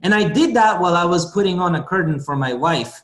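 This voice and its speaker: A man's voice: high-pitched, animated, racing, fairly bright tone, reverberant. A man from Guatemala with a Spanish accent, talking as a podcast guest and telling his stories in a jokey, self-assured way. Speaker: guest speaker. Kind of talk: casual podcast conversation.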